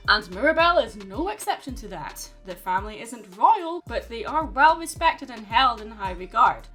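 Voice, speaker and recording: A woman narrates a scripted video essay in a fairly high, very bright and forward voice. Her delivery is wry, with dry asides worked into the commentary. Music plays softly behind her.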